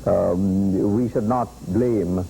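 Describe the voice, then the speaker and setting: Deep-toned man speaking hesitantly. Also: steady tone, very slow, creaky, warm tone, a little hiss or hum, rough recording. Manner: hesitant, many filler sounds. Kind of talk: spoken statement.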